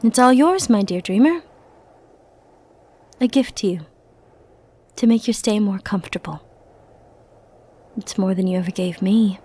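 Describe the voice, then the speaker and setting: A woman playing a powerful, flirty and possessive sorceress in an ASMR roleplay, speaking softly and intimately with theatrical, teasing, condescending turns. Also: mid-range voice, a little slow, long pauses. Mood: smug, teasing. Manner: soft, intimate, teasing, theatrical delivery with breathy murmured asides.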